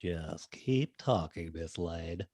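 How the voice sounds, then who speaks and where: Casting: man as calm, practical sidekick, low voice, dry close recording, amateur table read. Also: takes it slowly, no room sound, heavily acted. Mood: urging.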